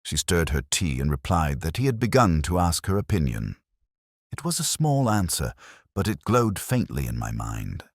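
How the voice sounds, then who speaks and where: A man with an extremely low voice reads a detective story in the first person. His delivery is measured and literary, with lively rises and falls in pitch. The sound is dry and close, with very little room on it. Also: no room sound. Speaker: narrator.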